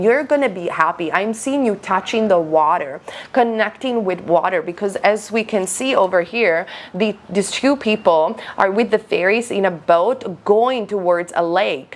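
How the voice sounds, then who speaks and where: A woman with a mid-pitched voice that is recovering from being lost reads tarot cards for her viewers. She talks conversationally and directly to the listener, repeating phrases to press her point. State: recovering from voice loss. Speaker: tarot reader, online host. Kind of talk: tarot card reading addressed to viewers, conversational.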